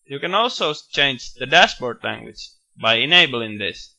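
A man walking through a software screencast, explaining each step in a steady, matter-of-fact way with bits of enthusiasm. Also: medium pitch, a little slow, gravelly, dull audio. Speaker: software tutorial presenter. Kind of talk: screencast walkthrough narration.

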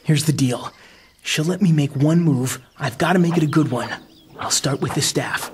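A man's voice: on the high side, moderate pace, close to an everyday voice. A man playing an earnest, determined teenage boy hero in a comic audio drama, youthful and principled. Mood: focused. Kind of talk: dramatized comic audio acting and inner narration. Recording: faint noise with clicks.

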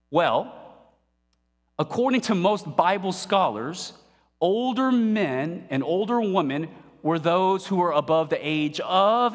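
A man in his forties preaching a sermon from a pulpit, animated and playful, asking the congregation questions. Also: high-pitched. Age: 40s. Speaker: pastor. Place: church stage at a pulpit, indoors. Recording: long reverb of a hall.

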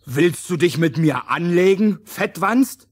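An actor playing a cheeky, scrappy little man, bold and excitable, in a fairy-tale audio play. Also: sits high, slow, breathy edge, heavily acted. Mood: feisty. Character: cheeky, scrappy little man recruiting rebels against a king.

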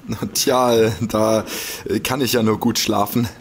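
An actor playing a nervous, fretful amateur detective in an audio drama, hesitant, flustered and muttering to himself. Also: male voice, fairly low pitch, level tone, slow pace, a little hiss or hum. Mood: uneasy. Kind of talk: German audio drama acting.

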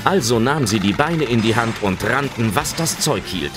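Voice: medium pitch, lively intonation, normal speed, music in the background, natural voice. A narrator telling a children's adventure audio drama in dramatic scripted narration.

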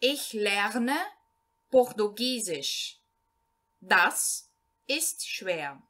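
Female teacher reading a male learner-text character; slow, lively, bright. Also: medium pitch, heavily acted. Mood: plain. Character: man introducing himself in a beginner text. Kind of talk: read-aloud language lesson.

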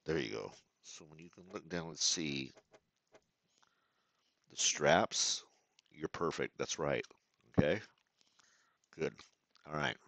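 A man's voice: very deep voice, slow pace, vocal fry, long pauses, a bit bright, no room sound. A man giving casual commentary to viewers while driving a racing sim at home, sometimes mumbling and trailing off as he adjusts settings.

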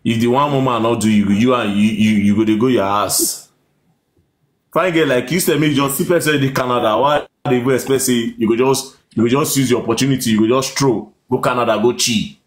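A man's voice: medium pitch, brisk. A Nigerian man with a Nigerian accent hosting a phone live stream, teasing his guests in pidgin and laughing often. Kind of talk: pidgin casual chat. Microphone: phone camera.

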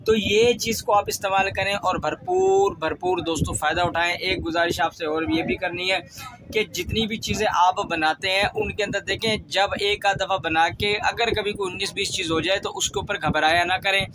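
A man's voice: high voice, normal speed, bright, forceful tone, poor audio. A Pakistani-accented man giving casual instructional talk to viewers as a channel host, repeating phrases for emphasis. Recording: clear background noise.

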